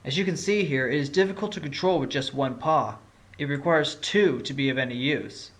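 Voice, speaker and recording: Man playing a higher-voiced, scrappy fox lawyer. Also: a little fast, natural voice. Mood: confident, pressing. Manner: pointed, argumentative. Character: scrappy, determined male fox defense attorney. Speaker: voice actor in fan audio drama. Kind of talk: courtroom drama acting with inner monologue. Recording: music clearly under the voice.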